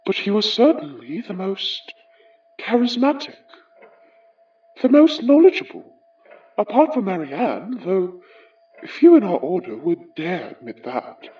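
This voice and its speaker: A woman's voice: medium pitch, sing-song, measured pace, recorded from a distance, dull audio. A woman playing a haughty, bitter aristocratic ghost in a horror audio drama, speaking formally and theatrically with a British accent. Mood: bitter, guarded.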